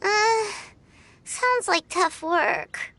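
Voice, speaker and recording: Squeaky, tiny childlike companion. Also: female voice, extremely slow, sharp tone, heavily acted. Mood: puzzled, reluctant. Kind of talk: scripted video game dialogue.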